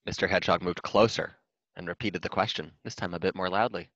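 Low-voiced man reading a children's story. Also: fairly even intonation, fast, fairly bright tone, no echo, highs cut off. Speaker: storybook reader.

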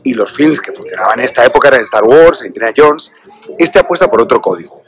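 Guy in his 40s doing a film review selfie-style outside, phone mic, bit of faint noise. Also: mid-range voice. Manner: enthusiastic, chatty selfie presenting. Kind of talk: casual film review to camera. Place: outdoor drive-in cinema lot. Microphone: phone held at arm's length.

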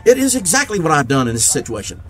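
An actor in an audio drama playing an eccentric, eager genius mechanic in a wheelchair, wordy and precise. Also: male voice, on the high side, very animated, quick pace, a little hiss or hum. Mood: proud, eager.

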